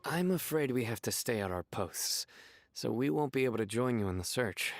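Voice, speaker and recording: Guy doing an earnest friendly guard npc, mid voice, very animated pitch, soft music under. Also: moderate pace, close to an everyday voice. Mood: troubled, apologetic. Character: earnest, friendly town guard on search duty. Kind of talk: video game dialogue.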